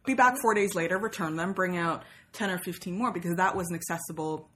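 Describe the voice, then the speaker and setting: A woman's voice: fairly low pitch, a little fast, fairly bright tone. A woman from Sweden who spent part of her childhood in the United States, chatting casually in an interview in a rambling, explanatory way. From Sweden, with childhood years in the United States. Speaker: podcast co-host being interviewed.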